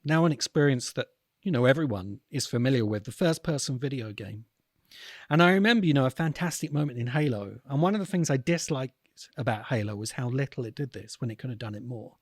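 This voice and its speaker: Male podcast host, medium-pitched, dry recording, earnest and emphatic commentary with deliberate pauses. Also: expressive, dry recording. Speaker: podcast host and video essayist. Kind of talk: reflective spoken commentary.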